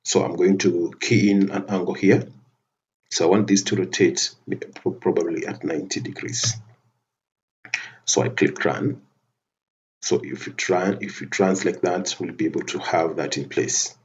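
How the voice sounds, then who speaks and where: A man giving a methodical, step-by-step software tutorial over a screen recording, often restarting his phrases. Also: low voice, fairly even intonation, a little slow, muffled recording. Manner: methodical step-by-step narration with frequent restarts and fillers.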